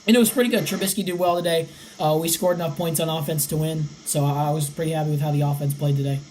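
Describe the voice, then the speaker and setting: Young man with a slightly raspy voice. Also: a little high, fairly even intonation, fast, a little mellow, a little hiss or hum, low-quality recording. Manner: rattles off stats. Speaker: podcast host. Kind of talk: casual sports podcast.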